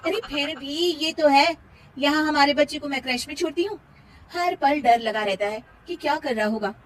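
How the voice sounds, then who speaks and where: A woman's voice: high voice, normal speed, slight background noise, voice pushed up, natural voice, poor audio. A woman voicing an envious young office friend in a cartoon, gossipy and emphatic. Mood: wistful, dismissive.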